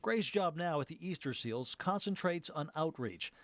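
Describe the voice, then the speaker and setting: Higher-voiced male TV news reporter. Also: lively intonation, moderate pace, a bit bright, slight background noise. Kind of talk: scripted narration.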